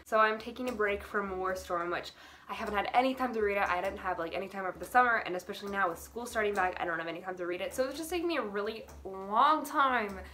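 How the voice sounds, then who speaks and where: A woman's voice: medium pitch, fast, pushed and bright. A teenage girl chatting casually to camera about books, rambling with lots of fillers and little laughs. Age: teens. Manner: rambling, filler-heavy, giggly. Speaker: book vlogger.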